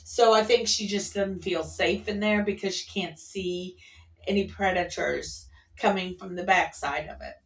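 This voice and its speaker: A woman with a mid-range voice chats casually in an unhurried, meandering way, like a vlogger sharing everyday stories. Her delivery is folksy and playful. The voice sits a bit away from the microphone. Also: medium pitch, distant mic. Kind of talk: casual rambling storytelling to camera. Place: at an airport workplace.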